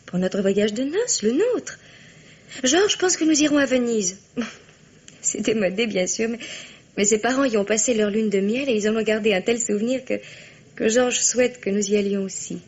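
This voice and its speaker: Breathy, earnest young granddaughter. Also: female voice, on the high side, normal speed, darkish tone. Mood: animated. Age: young adult. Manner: polite, earnest, hesitant at times. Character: earnest, well-bred young engaged granddaughter, loyal and spirited. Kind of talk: French radio drama acting.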